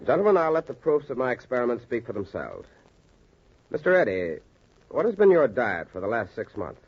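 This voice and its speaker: An actor playing an earnest, confident doctor in 1940s radio style, with an emphatic, oratorical manner and a husky voice. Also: male voice, medium pitch, big pitch swings, a little fast. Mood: firm, persuasive. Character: earnest, confident male doctor addressing skeptical colleagues. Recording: dull, lo-fi 1940s radio recording.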